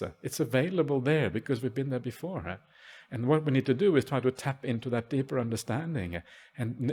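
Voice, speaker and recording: A man with a husky voice and a middle pitch answers questions at a monastery meditation retreat. He speaks gently and haltingly, pausing often on "uh" fillers between his thoughts. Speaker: Buddhist monk answering questions. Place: retreat hall at a forest monastery.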